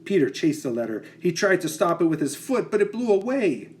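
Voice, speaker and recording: A man reading a children's picture book aloud with expressive, dramatic phrasing. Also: sits high, a little fast, a little hiss or hum.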